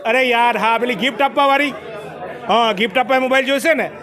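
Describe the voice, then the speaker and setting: A man's voice: very high voice, brisk, a crowd in the background. A middle-aged man, reporting on a shop opening in a chatty, salesy manner into a handheld mic inside a crowded store. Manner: chatty, salesy, jokes with viewers. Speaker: local news reporter. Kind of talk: on-the-spot promotional report. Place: crowded mobile shop, indoors.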